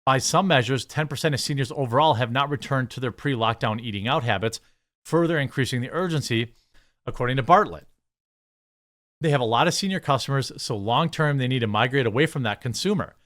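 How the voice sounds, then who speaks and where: A man's voice: mid-range voice, fairly quick, a bit bright. An American man giving opinionated news commentary, reading articles aloud and reacting with blunt, sarcastic, exasperated asides. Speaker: commentary show host.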